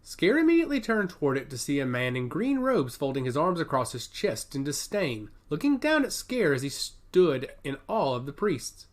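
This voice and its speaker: A man's voice: on the high side, very animated, normal speed, hardly any pauses. A man narrating a scripted fantasy story as an audiobook.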